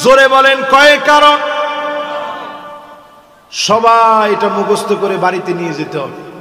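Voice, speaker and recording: High, forceful Bangladeshi male preacher, echoing. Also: measured pace, soft background music. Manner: emphatic, exhorting, with call-and-response questions. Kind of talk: fiery sermon to a congregation. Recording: echoey PA with long reverb.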